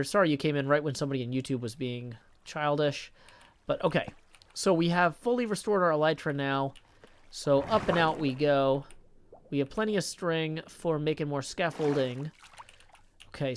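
A man streaming live gameplay with a headset mic, chatting, reading viewer comments aloud and firmly shutting down rude ones. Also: on the high side.

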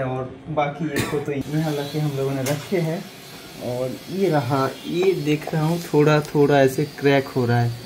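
A man's voice: fairly high pitch, background noise. Chatty man explaining in an echoey room. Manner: chatty, explains step by step, scolds birds. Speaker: parrot breeder and channel host. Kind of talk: casual explaining vlog.

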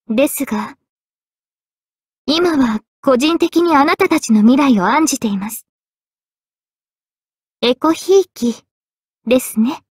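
Anime game role: regal young female sword spirit, high-pitched, gentle, measured and polite, very dry studio sound. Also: slightly rough, no echo, heavily acted. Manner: poised, polite, measured delivery. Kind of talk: Japanese anime game voice acting. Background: faint background music.

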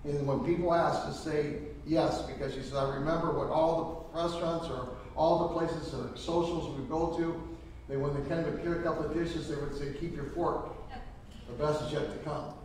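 A man's voice: on the high side, steady tone, normal speed, a little mellow, a little hiss or hum. Middle-aged male pastor, earnest folksy funeral preacher, roomy church sound from far off. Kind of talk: funeral sermon. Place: large church sanctuary. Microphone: lectern microphone from a far camera.

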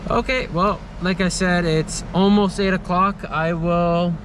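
A man's voice: high voice, steady tone, unhurried. A man, casually narrating a travel vlog and describing each step to his viewers as he waits in a line of cars. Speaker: travel vlogger. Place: car line and ferry terminal. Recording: loud vehicle and outdoor noise.

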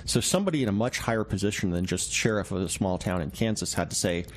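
A man with a fairly low voice talks as an interview guest. He explains conversationally and in a measured way, like a journalist qualifying each detail. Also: a little hiss or hum.

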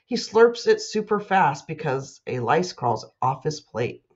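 Lady principal talking to kids, takes it slow, kinda muffled computer mic. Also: low-pitched, very animated, darkish tone. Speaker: school principal. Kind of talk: children's read-aloud and announcements.

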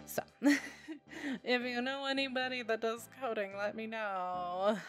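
A woman's voice: a little high, steady tone, slow, a bit bright, faint music behind. A young woman rambling casually to viewers in an informal, self-deprecating, giggly manner, as a video creator. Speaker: video creator reviewing software. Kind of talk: casual rambling chat to viewers over a screen recording.

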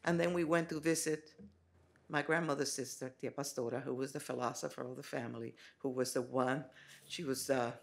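Woman from the dominican republic, college president talking at a forum, gravelly voice, lots of uh and um, big meeting room. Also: very deep voice, steady tone. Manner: halting, with many fillers and restarts. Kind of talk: forum address.

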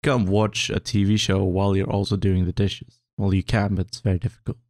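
A man's voice: deep voice, flat delivery, a little fast, a little mellow, no room sound, studio quality. A young Swedish man co-hosting a podcast in casual chat, speaking hesitantly with many restarts. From Sweden. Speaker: podcast co-host.

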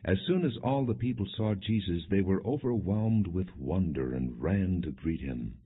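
A man reading scripture as an audiobook narrator, in a solemn, measured, scripted storytelling style. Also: low-pitched, expressive, slightly rough. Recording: muffled, narrow phone-like audio.

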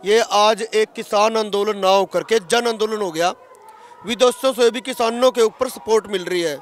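A man's voice: very high-pitched, slight background noise. A man in his thirties with a Haryanvi accent, speaking emphatically and argumentatively in a street interview as a protesting farmer. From Haryana, India. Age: 30s. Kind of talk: street interview, impassioned political talk. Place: outdoors at a roadside protest camp. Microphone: handheld interview microphone.

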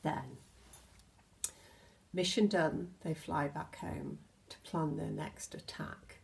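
A British woman in her sixties reads a children's picture book aloud, expressively, with gentle asides addressed to the children. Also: low-pitched, fairly even intonation, takes it slowly, vocal fry. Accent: British English. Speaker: storyteller, infant school teacher. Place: indoors in a home hallway.

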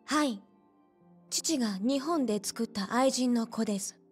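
Young club hostess, high-pitched, polite, earnest, pleading gentleness, game drama, faint music underneath. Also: female voice, measured pace, husky. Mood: anxious, earnest. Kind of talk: Japanese video game cutscene acting.

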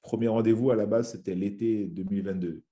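A man's voice: lowish voice, steady tone, a little fast, dark tone, highs cut off. A man in his thirties from French Guiana, a fitness influencer chatting as a guest on a video-call podcast, restarting his sentences often. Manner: rambling with many restarts and fillers. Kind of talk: casual video-call interview. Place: small room at home. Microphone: webcam on a video call.

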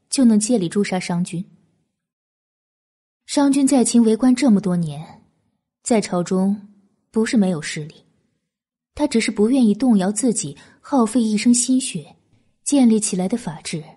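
A woman voicing a shrewd, resolute female strategist in an audiobook, measured, wry and commanding. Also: medium pitch, lively intonation, dark tone, slightly rough. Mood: grave, resolute.

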